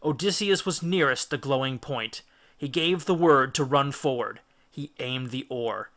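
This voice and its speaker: A man's voice: medium pitch, a little slow, fairly bright tone. A man reading an adventure story aloud as dramatic, scripted audiobook narration. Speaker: audiobook reader.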